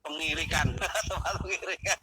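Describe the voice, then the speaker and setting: Very loud noise surrounds a man calling in to a religious talk show, his voice a little high. He exhorts listeners casually and colloquially, repeating his points for emphasis. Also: fairly even intonation, normal speed. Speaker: guest caller on an online religious talk show. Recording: very noisy online call with clicks.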